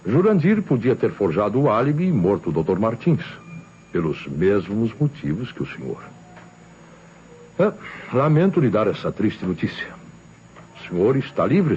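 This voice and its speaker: An actor playing a relentless police inspector in an old Brazilian radio drama, speaking in a theatrical, deliberate, accusing way. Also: male voice, fairly low pitch, sing-song, darkish tone, slight background noise. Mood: grave, pressing. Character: shrewd, relentless male police inspector exposing a killer. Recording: dull vintage radio recording with music.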